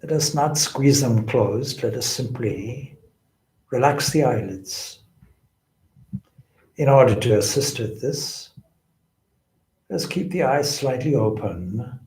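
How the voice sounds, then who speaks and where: A man leading a guided meditation as a spiritual teacher, with deliberate, measured phrasing and pauses. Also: mid-range voice, lively intonation, a little mellow. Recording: echoey room.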